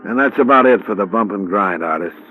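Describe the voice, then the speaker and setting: A muffled old radio recording carries a cool American private investigator, acted by a man. The voice sits low, and the lines come dry, clipped and wry in classic 1950s detective-drama style. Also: expressive, a little fast, natural voice. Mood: wry, businesslike. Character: cool, hardboiled freelance male insurance investigator.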